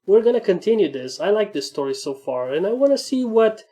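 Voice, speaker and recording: A woman's voice: medium pitch, sing-song, a little fast, warm tone. A woman narrating fan fiction as a reader and host. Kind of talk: story narration. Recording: music under the voice. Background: background music.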